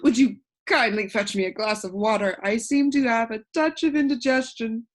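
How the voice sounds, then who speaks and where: A woman's voice: on the high side, normal speed, natural voice. A woman putting on a pompous, fickle bug courtier. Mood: distressed, wheezy. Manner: flowery, repetitive, emphatic speech.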